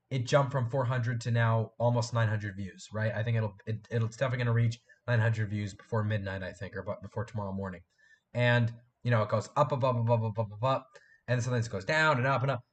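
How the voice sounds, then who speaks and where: An eighteen-year-old man hosting a casual live stream from a room at home, hoarse and congested from a cold, rambling and repeating himself as he chats with viewers. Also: mid-range voice. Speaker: live stream host and fan film maker.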